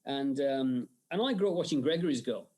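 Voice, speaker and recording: An English man telling lively anecdotes as a guest in a casual interview, often slipping into mock accents. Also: mid-range voice, fairly quick. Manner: animated storyteller who slips into Scottish impressions. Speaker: guest, special effects artist.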